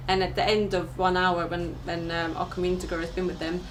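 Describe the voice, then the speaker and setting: Woman, farm educator, relaxed interview, distant mic, noisy background. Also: on the low side, quick pace. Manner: relaxed, with fillers and restarts. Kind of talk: casual interview.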